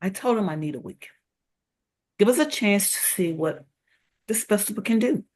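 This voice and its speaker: A woman's voice: medium pitch, animated, normal speed, darkish tone, natural voice. Worried diner owner played by a woman. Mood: softening. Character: worried, conflicted diner owner in her forties. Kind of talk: table read of a holiday romance script.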